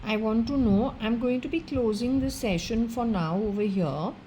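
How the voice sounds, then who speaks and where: A woman's voice: on the high side, normal speed, mellow, a little hiss or hum. A woman with an Indian accent, giving a solo spiritual reading and speaking earnestly to an unseen spirit. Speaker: tarot and occult reader.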